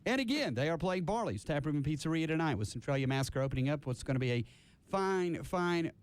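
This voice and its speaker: A man's voice: a little high, lively intonation, quick pace, clean tone. A man hosting a casual live radio interview, rambling on enthusiastically in a studio. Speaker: radio host.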